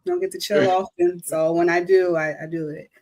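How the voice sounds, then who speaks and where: A woman's voice: lowish voice, level tone, moderate pace, darkish tone. A woman in her thirties from New York, a guest artist talking casually and modestly, laughing often. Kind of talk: casual interview.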